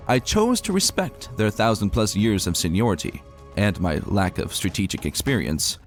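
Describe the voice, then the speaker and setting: A man playing an earnest, self-deprecating wounded soldier prince, a stallion narrating his own dramatic story. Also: fairly low pitch.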